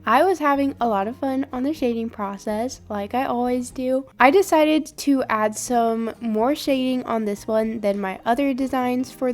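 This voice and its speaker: A young woman, hoarse from a sinus infection, chatting upbeat in a voiceover about her drawing. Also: fairly high pitch, a little mellow. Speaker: art channel host. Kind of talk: voiceover over drawing footage.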